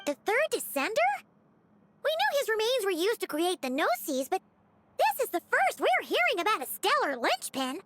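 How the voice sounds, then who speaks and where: A woman voicing a tiny, childlike female companion creature in a video game, with a squeaky voice and an earnest, curious, questioning manner. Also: huge pitch swings, moderate pace, fairly bright tone, slight background noise, full character voice. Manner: eager, wide-eyed, questioning. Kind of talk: scripted video game voice acting.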